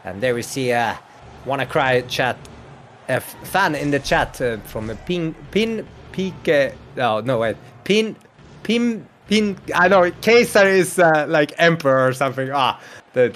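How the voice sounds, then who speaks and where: A man's voice: medium pitch, fairly bright tone. Guy doing play-by-play, chatty, laughs a lot, faint hiss behind. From Sweden. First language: Swedish. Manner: chatty, laughs often, reads out chat. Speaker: esports commentator. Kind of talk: play-by-play commentary.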